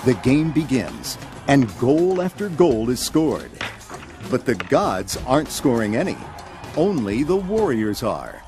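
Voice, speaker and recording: A man's voice: mid-range voice, sing-song, measured pace, a little mellow, soft background music. A man narrating a scripted read-along adventure story for children.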